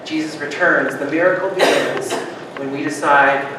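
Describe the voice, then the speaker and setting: A man preaches to his congregation with a fairly high voice, swinging between loud rousing calls and hushed, soft-spoken reflection. He is heard from a distance in a strongly echoing church, with clear background noise around him. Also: fairly even intonation, normal speed. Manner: conversational, joking with the congregation. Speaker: pastor. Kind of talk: sermon. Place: church sanctuary.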